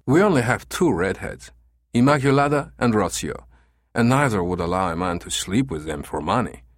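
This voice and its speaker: A man's voice: on the low side, animated, moderate pace, no room sound, studio quality. A man voicing a careful, polite businessman in an audiobook story, speaking in a formal and guarded manner. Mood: firm, dismissive. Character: careful, smooth Spanish escort agency manager, a man.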